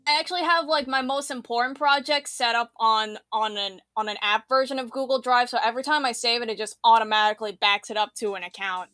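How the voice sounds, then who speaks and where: Woman tutor, higher-pitched and very bright, casual chat, close dry sound over music. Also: fairly even intonation, fast talker, few pauses. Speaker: tutor guiding a visual novel project.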